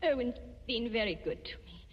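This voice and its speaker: An actress playing an earnest, emotionally restrained wife in a troubled marriage, with intense, plaintive delivery in 1940s radio drama style. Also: female voice, fairly high pitch, expressive, moderate pace. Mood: pleading, restrained. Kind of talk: 1940s radio suspense acting. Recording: muffled 1940s radio recording, reverberant, music behind.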